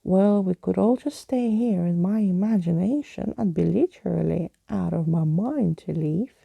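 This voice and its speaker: A woman's voice: on the low side, normal speed, vocal fry, warm tone, husky, no echo, heavily acted. A woman voicing a playful, cheeky, imaginative girl in a storybook reading, light and teasing. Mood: delighted.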